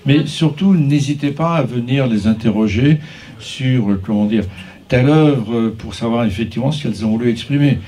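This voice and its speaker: A man's voice: mid-range voice, normal speed, warm tone. A man giving an informal, unscripted speech to guests, chatty and a little rambling. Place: exhibition opening, speaking to guests. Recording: faint background noise.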